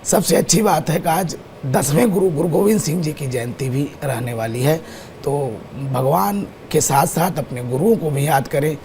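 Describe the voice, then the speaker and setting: A man's voice: on the high side, animated, moderate pace, warm tone, a little hiss or hum. A man appearing as an astrologer guest on a TV show, speaking through a sore throat as he steadily lists times and dates. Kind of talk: explaining astrology on TV. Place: TV studio.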